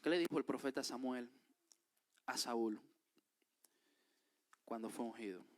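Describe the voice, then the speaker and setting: A man's voice: medium pitch, measured pace. A man with a Dominican accent preaching a sermon, reading scripture aloud and then explaining it with emphatic rises. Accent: Dominican Spanish. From Dominican Republic.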